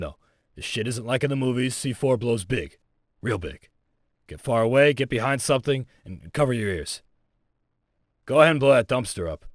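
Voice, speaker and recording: A gruff but easygoing army instructor from a video game tutorial. He talks in a conversational, wry, salty way at a medium pitch. The sound is dry and close. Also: bright, forceful tone, no room sound. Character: gruff, casual military training instructor, adult man.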